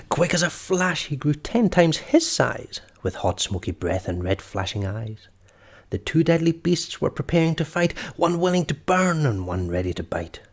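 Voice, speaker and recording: A man's voice: a little high, husky. A man reading a children's story aloud expressively, as storyteller and host.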